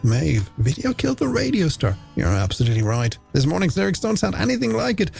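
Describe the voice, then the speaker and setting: Male late-night radio host, lively intonation. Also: sits high, fairly quick, vocal fry. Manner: playful, chatty, addressing listeners directly. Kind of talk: music radio presenting, links and listener game. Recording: radio broadcast over music bed. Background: music.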